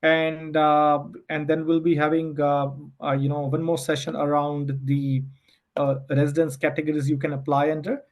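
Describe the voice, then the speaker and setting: A man with a slightly high voice leads an online webinar as an adviser. He explains things step by step in polite, halting phrases, often restarting as he goes. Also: flat delivery. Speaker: immigration adviser hosting a webinar.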